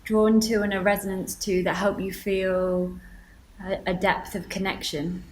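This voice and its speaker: Woman doing guided meditation, gentle and even, mid pitch, pauses between instructions, bit of background noise. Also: poor audio.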